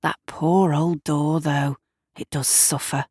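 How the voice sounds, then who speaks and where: Woman storyteller, lowish pitch, slow measured delivery, wry asides, scripted drama narration. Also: little pitch movement, a little mellow, breathy edge, dry recording, clean studio sound. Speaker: writer and narrator of audio drama.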